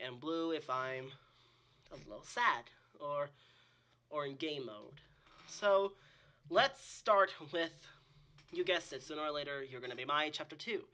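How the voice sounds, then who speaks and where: A man hosts a casual reaction video in a husky voice that still comes across very bright and forward. He sounds under the weather and sits fairly high in pitch. His talk rambles and breaks into exclamations. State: sick with a cold or similar illness. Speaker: host of a reaction channel.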